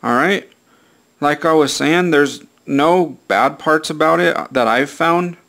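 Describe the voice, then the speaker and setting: A man's voice: a little high, slow, fairly bright tone. A man talking casually through a hands-on product review in a plain-spoken, repetitive way, often punctuating with "all right".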